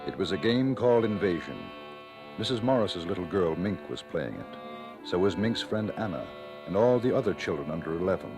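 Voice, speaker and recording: A man narrating an old-time radio suspense drama as a grave, measured, ominous storyteller. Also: sits low, sing-song, over music.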